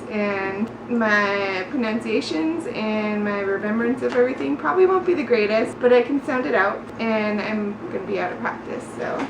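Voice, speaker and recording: Higher-voiced woman chatting in an echoey, noisy room. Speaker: vlogger learning a language. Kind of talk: casual study vlog chat. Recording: roomy echo, clear background noise.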